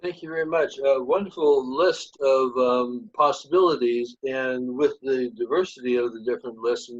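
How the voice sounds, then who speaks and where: A man's voice: mid-range voice, moderate pace, slightly rough, no echo, dull audio. A man politely asking a question during an online meeting. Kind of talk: polite question on a video call.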